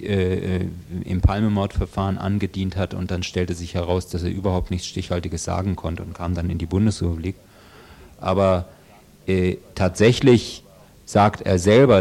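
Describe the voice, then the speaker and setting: A man giving a spontaneous legal analysis in a radio interview, speaking haltingly in long, involved sentences. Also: deep voice, vocal fry, darkish tone.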